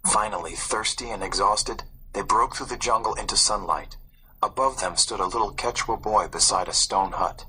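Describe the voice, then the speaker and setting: A man reading a story aloud as a narrator, in a suspenseful, expressive way. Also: lowish voice, normal speed, husky, poor audio. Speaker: audiobook narrator. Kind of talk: dramatic scripted storybook narration.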